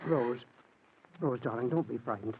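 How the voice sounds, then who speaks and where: An actor playing a worried, devoted husband in a 1940s radio mystery, earnest and stammering. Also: male voice, mid-range voice, normal speed, a little hiss or hum, lightly acted. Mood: worried, tender. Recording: tinny old radio recording.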